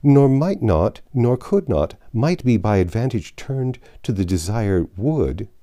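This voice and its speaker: A man's voice: fairly low pitch, sing-song, a little slow, dark tone. A man voicing a humble, earnest young medieval damsel in a novel reading, with flowery, long-winded archaic speech. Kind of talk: audiobook character dialogue.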